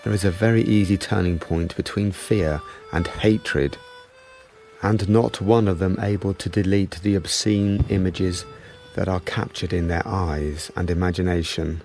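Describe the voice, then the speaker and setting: An actor playing a sombre, reflective narrator of a war drama, speaking in a solemn, measured way. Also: male voice, low voice, fairly even intonation, a little mellow, soft background music. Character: sombre, reflective narrator of WW1 soldiers' suffering.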